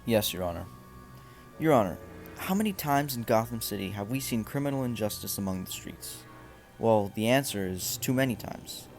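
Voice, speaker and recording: A man's voice: fairly low pitch, lively intonation, moderate pace, darkish tone. A man playing a grim masked vigilante and a smug crooked lawyer in an amateur superhero radio play. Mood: smug, orating. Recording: music behind the voice.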